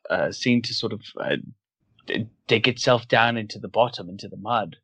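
A man who grew up in Wales, without a Welsh accent, talking as a guest in a casual interview and pausing thoughtfully to find his words. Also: fairly low pitch, sharp tone, breathy edge, no echo. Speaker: guest author. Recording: dull, dry lo-fi audio.

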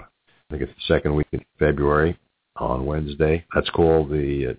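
Very deep, husky New York man. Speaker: radio talk show host. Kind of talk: business interview and announcements. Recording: muffled narrow phone-like audio.

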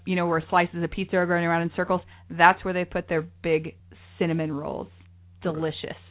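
Bubbly chatty woman, tinny line. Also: on the low side. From lived in New York City. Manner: bubbly, chatty, laughs often. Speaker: travel show co-host. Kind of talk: casual chat.

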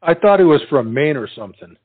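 An actor playing an awkward, well-meaning young American soldier in a comic stage play, speaking hesitantly. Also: male voice, a little high, very animated, fast talker, husky. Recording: noisy, tinny stage play recording.

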